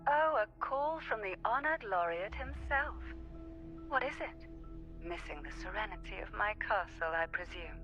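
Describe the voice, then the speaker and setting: A woman's voice: medium pitch, expressive, pushed and bright, dull audio, faint music behind. An actress playing an elegant, composed noblewoman in a video game, speaking formally and graciously through a call filter. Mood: teasing. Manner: formal, poised, measured speech. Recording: thin band-limited call sound.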